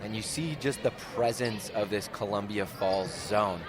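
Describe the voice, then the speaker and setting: A man's voice: on the low side, measured pace, over music. A man giving conversational live analysis as the color commentator at a basketball game. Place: indoor school gymnasium during a game. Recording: noisy gym ambience, bouncing basketballs. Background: game noise, basketball bounces.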